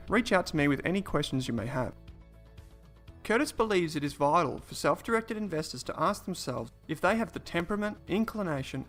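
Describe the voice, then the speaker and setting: Podcast host, man, slightly raised pitch with a gravelly edge, soft music under the intro. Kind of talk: scripted intro, then interview questions.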